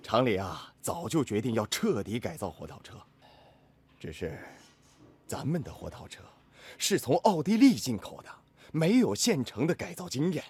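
An actor playing an encouraging, measured senior factory director in a Chinese radio drama. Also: male voice, mid-range voice, sing-song, darkish tone, husky. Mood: pleased, measured.

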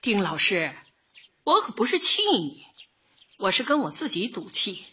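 A woman's voice: a little high, bright, forceful tone, husky, heavily acted. A female actor playing a plucky, folksy, stubborn middle-aged housewife in a comic radio drama, with feisty colloquial speech. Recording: dull lo-fi audio.

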